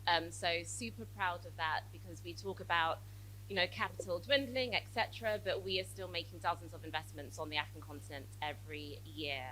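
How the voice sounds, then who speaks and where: A woman with a British-tinged accent introducing herself on a live event panel, brisk and confident. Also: mid-range voice, sharp tone, slight background noise, low-quality recording. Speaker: panelist, accelerator managing director. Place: event stage with audience.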